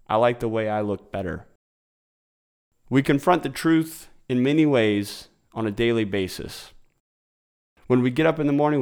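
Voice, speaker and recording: A man's voice: mid-range voice, darkish tone, no room sound, clean studio sound. A man hosting a religious interview, opening with a preacherly reflection, then asking questions earnestly. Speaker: host and interviewer. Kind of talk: sermon-like intro then interview.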